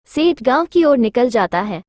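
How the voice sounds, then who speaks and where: A woman's voice: on the high side, very animated, moderate pace, darkish tone, no room sound, studio quality. A woman narrating a scripted children's moral story.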